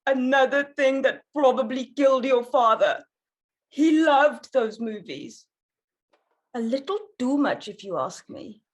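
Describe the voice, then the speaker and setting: A woman's voice: high-pitched, animated, unhurried. A woman playing an older, meddling widowed mother, dry and dramatic, in a comedy table read. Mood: dry, bitter. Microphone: video call.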